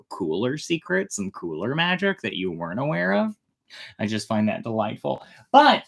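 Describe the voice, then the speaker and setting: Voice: medium pitch, fairly bright tone. A storytime host chatting casually about a story, with playful, irreverent and flippant asides.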